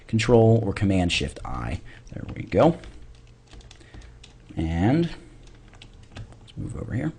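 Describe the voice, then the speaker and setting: A man narrating a software tutorial in an informal way, thinking aloud as he demonstrates. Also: deep voice, wildly swooping pitch, slow, pauses often, a little hiss or hum. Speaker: tutorial instructor.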